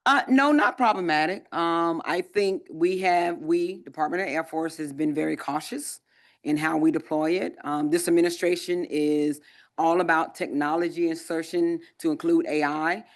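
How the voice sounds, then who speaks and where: Measured man answering conference questions. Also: a little high, steady tone. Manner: measured, thinks aloud with frequent ums. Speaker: conference presenter, Air Force technology leader.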